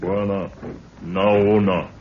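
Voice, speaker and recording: An actor playing a solemn African native guide in a 1930s radio drama, speaking terse, broken pidgin English. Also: male voice, deep voice, fairly even intonation, drawn-out words. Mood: ominous. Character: solemn African native guide, fearful of death, sharp-eyed. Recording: muffled, noisy 1930s radio recording.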